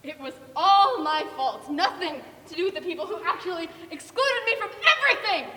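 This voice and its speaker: A young woman in a student stage play, acting a bitter, wounded, defensive teenage girl with an emotional, halting, insistent way of talking. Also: very high voice, animated, bright, forceful tone, distant mic, full character voice. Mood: frantic, pleading. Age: young adult. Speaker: student actor in a one-act play. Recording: echoey hall from afar, faint noise.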